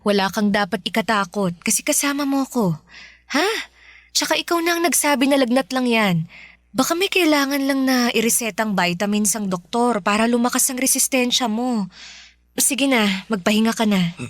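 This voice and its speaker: A woman playing a caring, expressive mother in a Filipino radio drama. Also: fairly high pitch, moderate pace, dry recording, clean studio sound, natural voice. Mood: worried, firm. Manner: tender, expressive, motherly scolding. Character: caring, teasing, worried Filipino mother of a sick son.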